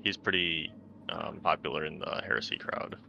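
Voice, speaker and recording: A man with a very deep, gravelly voice chats haltingly as a tabletop game player. The sound is dull and lo-fi with some noise behind it. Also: steady tone, bright, forceful tone. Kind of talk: casual chat. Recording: dull lo-fi mic, background noise.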